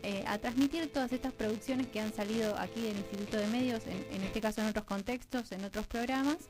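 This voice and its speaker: A woman's voice: on the high side, fast, music in the background, rough recording. A woman with an Argentine Rioplatense accent speaking as a radio interview guest, explaining conversationally in long, chained sentences as she thinks aloud. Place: radio studio.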